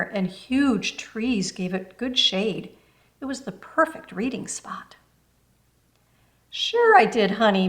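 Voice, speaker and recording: A woman reading a children's book aloud in expressive storybook narration. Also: mid-range voice.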